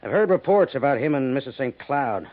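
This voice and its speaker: An actor playing a gruff, skeptical cavalry major, brisk and authoritative, in 1950s radio drama style. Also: male voice, mid-range voice, lively intonation, natural voice. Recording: tinny narrow-band 1950s radio recording.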